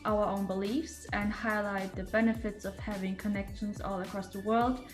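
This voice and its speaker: Woman, from Germany, testimonial, earnest, mid pitch. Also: flat delivery, moderate pace, roomy sound, soft background music. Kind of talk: video testimonial.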